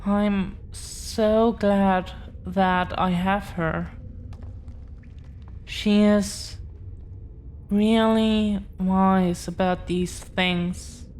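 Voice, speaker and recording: Woman acting as devoted dragon girlfriend, extremely slow halting delivery, earnest and protective, intimate audio roleplay, faint background ambience. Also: medium pitch, fairly even intonation, darkish tone, slight background noise. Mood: grateful, tender.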